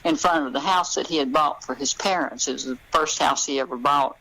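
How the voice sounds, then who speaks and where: Low-voiced Southern American woman, halting. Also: pushed and bright, poor audio. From Memphis, southern United States. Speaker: interviewed novelist. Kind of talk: casual interview conversation.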